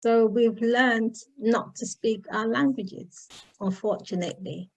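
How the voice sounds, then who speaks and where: A Nigerian woman hosting a panel discussion, speaking thoughtfully with many fillers and pauses. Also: fairly high pitch, a little mellow, rough recording. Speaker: host.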